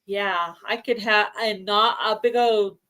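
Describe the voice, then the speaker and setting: A woman's voice: mid-range voice, steady tone, a little slow, bright, forceful tone, clear, resonant tone. A married woman chatting casually on a livestream, friendly and agreeable. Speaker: livestream co-host. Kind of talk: casual chat.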